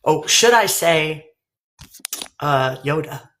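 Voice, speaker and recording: A woman's voice: sits low, expressive, slow pace, fairly bright tone, a little hiss or hum. Texan woman hosting a casual live chat. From Texas. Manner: chatty, swearing, teasing, laughing. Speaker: livestream host.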